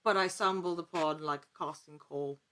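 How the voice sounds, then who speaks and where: Lower-pitched woman chatting in an interview. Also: steady tone, moderate pace, fairly bright tone. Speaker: podcast guest, actor. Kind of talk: casual interview chat.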